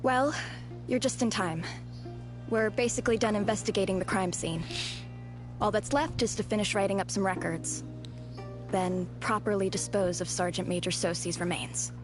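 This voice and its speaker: An actress playing a polite, matter-of-fact female investigator in a video game, with a brisk official manner and music behind her. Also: medium pitch, lightly acted. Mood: businesslike.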